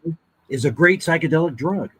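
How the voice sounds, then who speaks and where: A man talking casually as a guest, pitching show ideas in a rambling, playful way. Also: fast, slightly rough. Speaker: guest, voice actor and writer.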